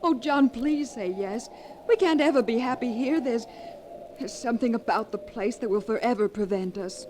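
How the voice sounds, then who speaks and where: An actress playing an affectionate but uneasy wife, earnest and refined, in a 1940s radio drama. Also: female voice, on the high side, moderate pace, a little mellow, close to an everyday voice. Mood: anxious, tender. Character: anxious, affectionate young wife of a painter. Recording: faintly noisy 1940s radio recording.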